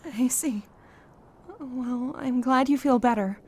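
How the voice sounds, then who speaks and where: A woman's voice: high-pitched, takes it slowly, darkish tone. A woman playing a guarded, guilt-ridden young survivor in an amateur zombie apocalypse audio drama. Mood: subdued, guarded.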